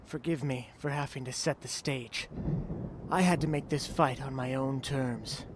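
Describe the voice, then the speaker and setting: A man's voice: mid-range voice, measured pace, background noise, close to an everyday voice. A man playing an earnest, principled samurai lord in a fantasy audio drama. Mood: resolute. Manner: earnest, pleading, steady.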